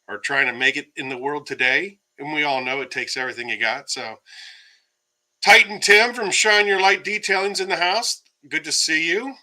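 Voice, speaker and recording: A man chats on a casual livestream, rambling through fillers and shouting out viewers. His voice is starting to go hoarse. The tone is strongly bright and pressed. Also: on the high side, rough recording. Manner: rambling, many fillers, greets viewers by name. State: losing his voice. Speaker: livestream host. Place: home, by himself.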